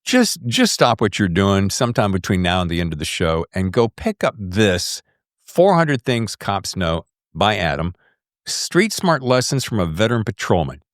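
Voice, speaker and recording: A man with a low, very lively voice hosts a casual podcast interview. He is chummy and effusive, leaning on key words and using plenty of slang. The sound is dry and close. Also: normal speed, no room sound. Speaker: podcast host. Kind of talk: casual interview chat, addressing guest and listeners.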